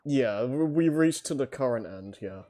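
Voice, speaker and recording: A man's voice: medium pitch, lively intonation, moderate pace, dark tone. A man co-hosting a podcast in casual, conversational chat.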